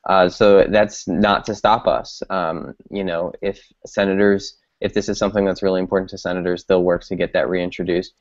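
A man's voice: low-pitched, flat delivery, normal speed, few pauses, dry recording. A young man in his twenties, a campaign organizer, explaining things on a video call with many ums, from a room at home through earphones. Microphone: earphone headset on video call. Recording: dull lo-fi video call sound.

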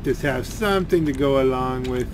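A man giving rambling, complaining gameplay commentary, halting and repeating his words as he goes. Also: medium pitch. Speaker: gaming video creator. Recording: game and engine noise behind voice.

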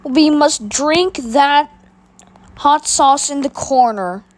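A woman's voice: high-pitched, takes it slowly. A woman voicing a bossy older boy who gloatingly announces punishments in a homemade skit.

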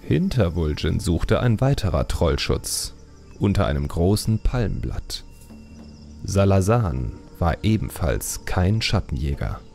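A man narrates a fantasy story in a deep voice. His delivery is slow, measured and dramatic, with careful scripted phrasing. Soft music plays behind him. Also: very animated, gravelly. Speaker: audiobook narrator.

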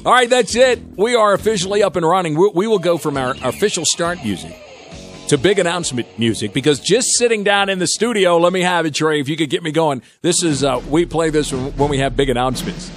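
A man hosting a radio talk show in a studio, animated and folksy, often tripping over and repeating his words. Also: fairly high pitch, fast talker, faint music behind. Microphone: studio microphone.

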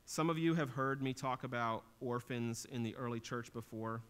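Man, thirties, pastor, sermon, earnest teaching tone, mid pitch, small church stage, room mic. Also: moderate pace, a bit bright, clean tone. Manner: earnest teaching tone, rising for emphasis.

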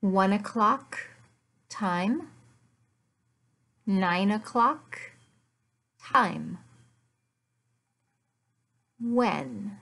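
An American woman in her fifties teaching beginner English, speaking deliberately in short, simple, repeated phrases with pauses, recorded at home on a laptop microphone. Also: mid-range voice, animated, vocal fry, fairly bright tone. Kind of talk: beginner language lesson, addressed to learners. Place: small furnished living room at home.

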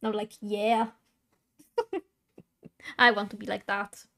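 A woman's voice: mid-range voice, level tone, takes it slowly, vocal fry, a bit bright. A young woman streaming while coloring, chatting casually to her viewers, with a stutter and many fillers and restarts. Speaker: VTuber streamer.

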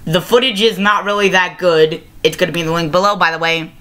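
A young adult giving a casual video review to camera, halting and often self-correcting, recorded on a webcam mic in a small room. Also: mid-range voice, steady tone, sharp tone. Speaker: review show host. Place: small plain-walled room indoors. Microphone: laptop webcam microphone at arm's length.

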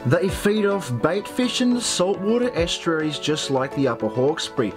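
An upbeat young Australian man talks casually to camera on an open beach, his voice slightly high. Music plays clearly underneath. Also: normal speed. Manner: upbeat, chatty. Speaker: fishing vlogger host. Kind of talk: casual outdoor vlog talk and narration.

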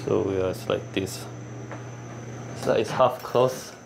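Slow, rambling man vlogging in street noise. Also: low-pitched, vocal fry. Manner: rambling, loose, many fillers. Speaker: travel vlogger. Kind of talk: casual walking vlog. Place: hotel room, then city street outdoors. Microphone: handheld camera on small tripod. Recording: loud street and room noise.

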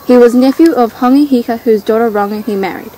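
A young teenage girl narrating introductions for a school history video in a steady, recited way. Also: a little high, quick pace, slight background noise, rough recording. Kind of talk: read-aloud school narration.

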